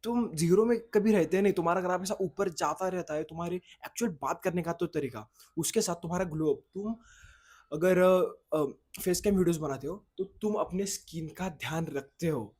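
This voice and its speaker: A young man with a slightly husky, somewhat high voice, speaking in a loose, rambling vlog style. His first language is Marathi, and he often loses his thread and restarts sentences while talking to camera. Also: normal speed, a little mellow. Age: young adult. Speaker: content creator. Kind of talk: casual vlog talk to camera. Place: outdoors in the sun, at a boys' hostel.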